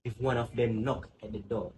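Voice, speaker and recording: A man's voice: mid-range voice, fairly even intonation, moderate pace, dark tone, lightly acted. A man playing a grave, watchful witness in a literary stage play. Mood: quiet, watchful. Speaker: student actor. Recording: faint background noise.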